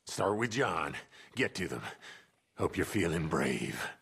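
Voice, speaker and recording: An actor playing a grim, menacing American outlaw in a western video game, with an ominous, taunting drawl. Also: male voice, low voice, sing-song, slow pace, creaky, fairly bright tone, slightly rough, heavily acted. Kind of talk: video game cutscene monologue.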